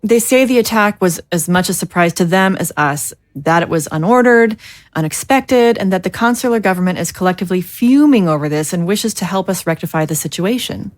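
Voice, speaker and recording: Actress as female political leader, formal, measured, diplomatic, dry close sound, audio drama. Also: fairly low pitch, lively intonation, no room sound, close to an everyday voice. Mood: measured, grave. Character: composed, formal female government leader briefing a council.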